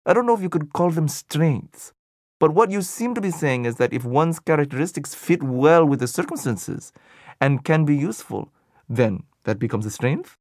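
A man's voice: a little high, expressive, moderate pace, a little mellow, no echo, studio quality. A man voicing an older male Buddhist teacher in an audiobook dialogue, earnest and questioning, thinking aloud as he searches for meaning. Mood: puzzled. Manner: questioning, searching, thoughtful delivery.

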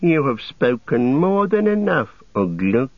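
A man voicing a sly, evil orc with a soft, sinister, sneering delivery in a storybook reading. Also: medium pitch, very animated, voice pushed up. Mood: mocking. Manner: sneering, insinuating, measured. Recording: roomy, dull lo-fi sound.

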